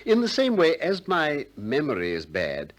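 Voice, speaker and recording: An actor with a British accent playing a shrewd, politely persistent ancient Greek philosopher in a 1960s radio play, with measured, probing delivery. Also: male voice, a little high, huge pitch swings. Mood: politely ironic. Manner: probing, courteous, measured questioning.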